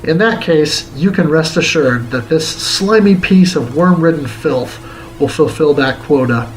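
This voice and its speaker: A man's voice: on the high side, unhurried, a little hiss or hum, poor audio. A man reading a scripted video game review as its narrator.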